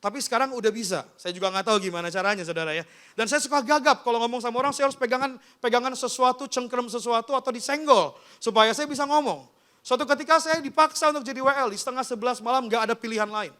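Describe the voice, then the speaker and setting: A man preaches with a strikingly high-pitched voice that is bright and smooth. As a pastor, he tells personal testimony in informal, conversational language, speaking straight to his listeners. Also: quick pace. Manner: informal storytelling, often addressing listeners directly. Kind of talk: personal testimony in a sermon, addressing a congregation.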